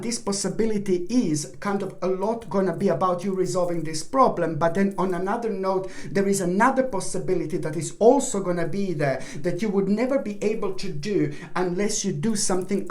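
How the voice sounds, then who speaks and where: A woman with a voice giving a rambling tarot horoscope reading, often restarting and apologizing, while losing her voice and clearing her throat. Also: fairly low pitch. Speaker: tarot reader.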